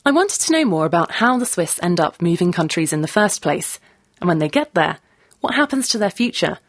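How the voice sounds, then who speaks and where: A woman's voice: lowish voice, big pitch swings, fairly quick, no room sound, studio quality. A woman presenter delivering scripted narration and putting questions to a guest. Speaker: presenter and interviewer.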